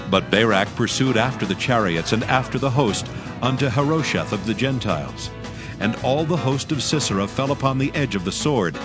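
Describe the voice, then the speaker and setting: A man narrating a dramatized scripture in archaic English, with solemn, measured storytelling. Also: on the low side.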